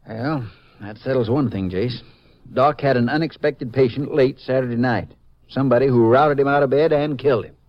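An actor playing a plainspoken, cooperative small-town Texas sheriff with a hesitant, folksy manner, in 1950s radio style. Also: male voice, mid-range voice, normal speed, no echo, natural voice. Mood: earnest, puzzled. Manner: hesitant, plainspoken, drawling rural phrasing. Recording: muffled, noisy 1950s radio recording.

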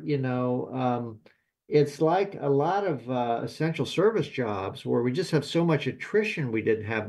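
A man gives expert commentary in an interview. His voice sits at a middle pitch, and his delivery is conversational and halting, with informal asides as he explains. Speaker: expert guest, aviation professor.

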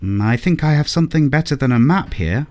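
A man's voice: medium pitch, lively intonation, a little fast, darkish tone, faint music behind, pitched above the performer's own voice, natural voice. A man voicing a little old male peddler who pleads and wheedles, stumbling over his words. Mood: wheedling.

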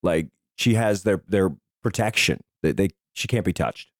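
A middle-aged man with a hoarse voice, casually bantering and swearing as a podcast host. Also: low voice, animated, moderate pace, no room sound, studio quality.

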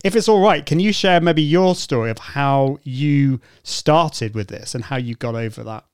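A man hosting a podcast interview, encouraging and a bit halting, often restarting his sentences. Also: medium pitch, clear, resonant tone, no room sound, clean studio sound. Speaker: podcast host. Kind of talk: conversational interview.

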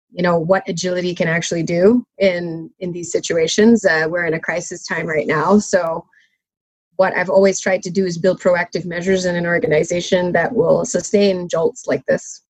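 A woman's voice: fairly low pitch, darkish tone, no echo, rough recording. A woman who grew up in Malaysia and Canada, speaking as an interview guest, articulate but a little rambling with restarts. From Malaysia, raised in Canada. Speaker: guest, sustainability consultant. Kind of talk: conversational interview.

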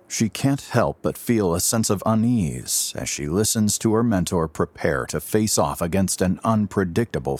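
Casting: male narrator, very low husky voice, theatrical scripted delivery for an adventure audio drama. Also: a little mellow. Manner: theatrical, deliberate storytelling.

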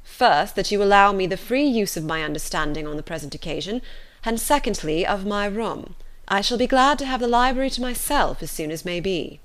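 A woman voicing a dry, unruffled older gentleman father in an audiobook, measured and mock-solemn. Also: mid-range voice, lively intonation, lightly acted. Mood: dry, dismissive. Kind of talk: audiobook character voice.

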